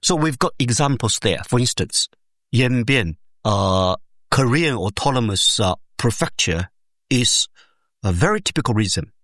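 A man in an academic interview, speaking English as a second language and calmly explaining his research step by step, pausing often with "uh" and making small grammar slips. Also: medium pitch, no room sound, clean studio sound. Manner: measured, explains step by step with frequent "uh" pauses. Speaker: education researcher, interview guest.

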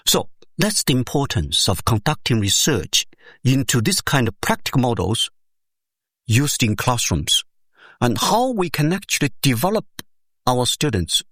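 Animated man explaining his research. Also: medium pitch, no echo, studio quality. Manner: measured, explains step by step with frequent "uh" pauses; speaks English as a second language, with small grammar slips. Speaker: education researcher, interview guest. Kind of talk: explanatory academic interview answer.

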